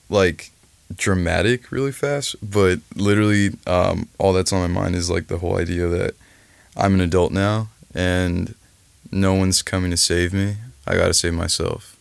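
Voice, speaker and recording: A man's voice: low-pitched, creaky, smooth, no echo. A man in his early twenties, an interview guest, speaking earnestly and reflectively. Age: 20s. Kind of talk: casual conversation.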